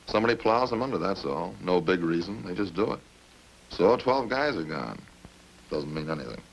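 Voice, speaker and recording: Gravelly male voice, talkative killer suspect, casual needling, smug, folksy, old radio crime show with faint hiss. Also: sits low, animated, moderate pace, rough recording. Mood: chatty, smug. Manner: folksy, casual, cagey, rambling. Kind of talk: 1950s radio crime drama acting. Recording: hissy old radio recording.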